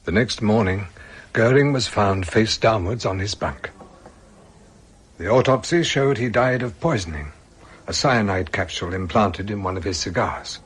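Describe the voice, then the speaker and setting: An actor in a radio drama playing an ailing, remorseful old British army colonel, speaking formally and haltingly in a husky, breathy voice. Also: male voice, lowish voice. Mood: sombre. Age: older.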